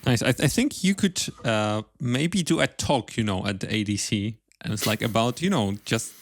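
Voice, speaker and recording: A man's voice: medium pitch, normal speed, no room sound. A man hosting a podcast in a casual, conversational way, offering encouraging and tentative suggestions. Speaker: podcast host. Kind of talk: casual interview chat.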